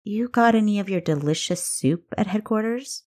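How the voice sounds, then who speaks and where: Casting: a woman performing a young female spy in a fantasy story, sarcastic, cheeky, pitched above the narration. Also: expressive, normal speed, darkish tone, close to an everyday voice.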